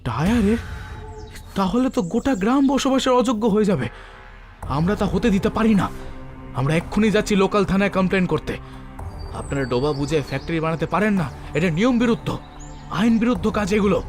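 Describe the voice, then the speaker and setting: Village lad, male actor: high voice, indignant protest, cartoon drama over light music. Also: lively intonation, fairly quick, pauses often. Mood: outraged. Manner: protesting, forceful. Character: outraged young village companion, protesting a factory. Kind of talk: cartoon story dialogue.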